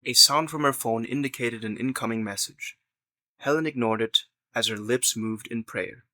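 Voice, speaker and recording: A man's voice: mid-range voice, moderate pace, sharp tone, no room sound. A man narrating a scripted story in the third person as the narrator of an audio drama.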